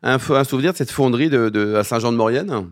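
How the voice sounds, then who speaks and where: Quick, teasing male radio host. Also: mid-range voice. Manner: teasing, jovial interviewer. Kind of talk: radio business interview.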